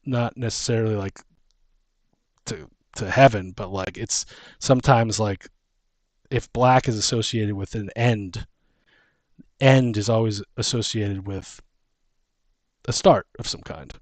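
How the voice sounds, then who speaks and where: A man co-hosting a casual chat, brainstorming fantasy lore in a halting, thinking-out-loud way. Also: fairly low pitch, dry recording. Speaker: podcast co-host.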